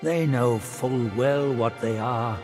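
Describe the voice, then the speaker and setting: An actor playing a solemn sage in a fantasy game, musing on gods and demons in a measured, dramatic way. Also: male voice, mid-range voice, natural voice. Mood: grave, rueful. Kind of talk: scripted fantasy game dialogue. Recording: music behind the voice.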